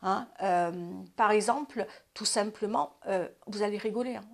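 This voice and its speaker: Woman, fifties, advice channel host, chatty and rambling with frequent restarts, mid-pitched. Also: normal speed. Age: 50s. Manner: chatty, repeats and restarts, drops to asides. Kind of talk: casual spoken advice.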